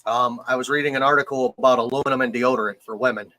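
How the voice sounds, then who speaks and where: A man's voice: mid-range voice, steady tone, fast talker, pushed and bright, dry recording, rough recording. Easygoing joking guy, early 40s, dry close sound. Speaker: fish keeper. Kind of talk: casual chat.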